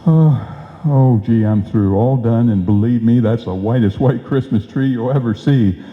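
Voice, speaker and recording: A man's voice: mid-range voice, unhurried, natural voice. Male actor as bumbling wisecracking husband, slangy, folksy, old-time radio comedy, long hall reverb, dull sound. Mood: eager, self-satisfied. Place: live performance in a reverberant hall. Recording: echoey hall, dull live stage sound.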